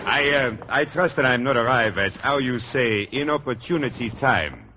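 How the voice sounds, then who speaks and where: An actor playing an earnest, courtly French teacher in a vintage radio sitcom, speaking halting English with a French accent. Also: male voice, mid-range voice, animated, natural voice. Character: genteel married French male schoolteacher, earnest and gallant. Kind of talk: 1940s radio sitcom acting. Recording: dull, noisy vintage radio recording.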